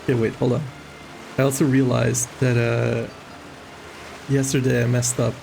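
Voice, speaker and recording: A man's voice: mid-range voice, little pitch movement, moderate pace, creaky, a little mellow, soft background music, poor audio. A man chatting casually as a game stream host, rambling and hesitant, prone to giggling. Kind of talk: casual livestream chat.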